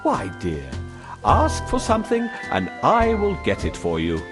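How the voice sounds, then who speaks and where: A man voicing a kindly, doting middle-aged merchant father in a children's fairy tale, affectionate and gentle. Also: on the high side, huge pitch swings, measured pace, music in the background. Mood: coaxing.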